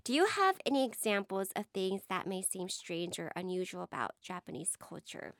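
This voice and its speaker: A woman's voice: medium pitch, big pitch swings, normal speed, dry recording, clean studio sound. A young woman from Hawaii and Texas co-hosting a podcast in casual chat, chatty with frequent laughs and fillers. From born in Hawaii, raised in Texas. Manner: chatty, laughs often, many fillers and backchannels. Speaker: podcast co-host, radio station worker.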